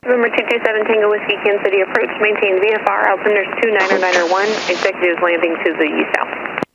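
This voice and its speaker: Fast American woman controller on noisy radio. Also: medium pitch, steady tone, creaky. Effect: aviation radio. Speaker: approach controller. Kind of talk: rapid radio instructions. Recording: muffled, noisy aircraft radio transmission.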